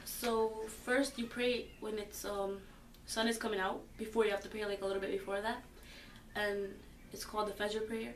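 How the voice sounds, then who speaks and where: A fifteen-year-old girl answers questions in a casual, halting way, full of fillers, and turns firm when she makes her point. Her pitch stays flat and unvaried. She sits well away from the microphone in a roomy, reverberant space with a faint hum of ambience. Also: recorded from a distance. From born in the United States. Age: teens. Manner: hesitant, many fillers, grows emphatic. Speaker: high school student interviewee.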